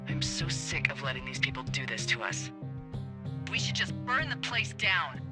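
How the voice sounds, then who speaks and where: An American actress playing a blunt, suspicious fire lookout in a video game, with an emphatic, natural conversational delivery. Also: female voice, very deep voice, sing-song, moderate pace. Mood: angry, agitated. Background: faint background music.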